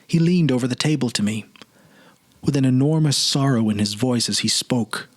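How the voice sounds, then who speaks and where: A man's voice: medium pitch, moderate pace. A man narrating a literary short story as a dramatic reading. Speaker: narrator and presenter.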